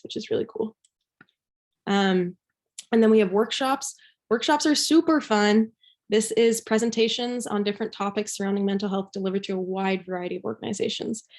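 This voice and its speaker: A woman's voice: medium pitch, darkish tone. A young woman from Belgium giving a casual, informal presentation as a student peer, with an enthusiastic manner. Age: young adult.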